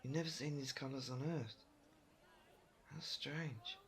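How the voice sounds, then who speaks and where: Helpful, curious storekeeper from another world , male actor, relaxed explainer, creaky mid-range voice, audio roleplay. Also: mid-range voice, measured pace. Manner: easygoing, inquisitive, explanatory. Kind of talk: roleplay audio drama addressed to the listener.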